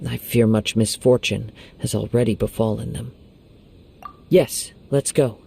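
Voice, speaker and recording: A man voicing a calm, soft-spoken young wandering samurai in a video game, speaking in a measured, formal, thoughtful way. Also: medium pitch, vocal fry, husky. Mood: pensive.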